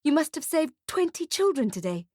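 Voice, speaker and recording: A woman's voice: high voice, sing-song, moderate pace, a little mellow, no room sound, studio quality, voice pushed up. Woman acting a solicitous, admiring female colleague.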